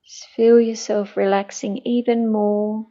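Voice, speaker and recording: A middle-aged woman leading a guided meditation in a gentle, soothing, hushed manner that turns breathy at times. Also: on the high side, little pitch movement, measured pace, highs cut off.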